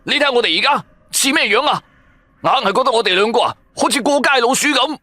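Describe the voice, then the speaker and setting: A man's voice: very high voice, fast, no echo, pitched above the performer's own voice, full character voice. A man voicing an indignant, mouthy young male sidekick who whines and protests in a Cantonese radio drama. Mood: scornful, aggrieved. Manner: whining, animated, protesting.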